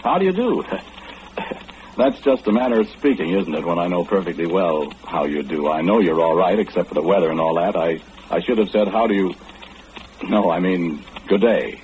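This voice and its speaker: A man's voice: deep voice, animated, moderate pace, a little mellow, a little hiss or hum. An actor playing a mild, fussy know-it-all man in a conversational 1940s radio drama monologue, genteel and hesitant, often correcting himself, on a muffled old radio recording. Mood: polite, unruffled.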